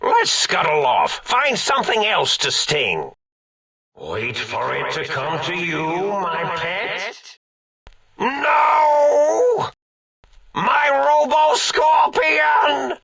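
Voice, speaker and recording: An actor playing a manic, cackling old mad scientist villain in a video game, theatrical and grandiose, with a raspy, gravelly voice and drawn-out delivery. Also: male voice, high voice, very animated, pushed and bright, shouting, exaggerated voice. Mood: irritated, muttering.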